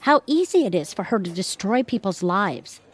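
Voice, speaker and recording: A woman's voice: medium pitch, sing-song, moderate pace, husky. A woman playing a wry, bossy, scheming wife in a comic audio play, with a dry, sardonic manner. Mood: conspiratorial. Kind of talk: comic audio theatre acting.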